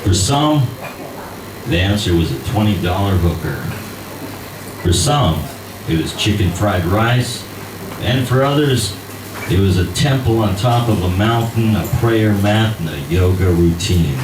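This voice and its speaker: Slow, low-voiced man reading in a bar. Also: noisy background. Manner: hesitant, self-deprecating chat before a measured, wry reading. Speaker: actor reading aloud. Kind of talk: live public reading of fiction. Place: cabaret bar. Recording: roomy, distant bar recording with loud ambience.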